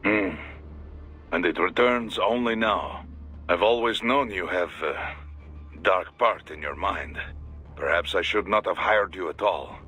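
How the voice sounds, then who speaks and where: A man voicing a grave, formal older mentor in a video game, speaking in a measured, disapproving manner. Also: low-pitched, bright, forceful tone, slightly rough, heavily acted. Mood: grave, concerned. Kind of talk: dramatic video game voice acting. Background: music.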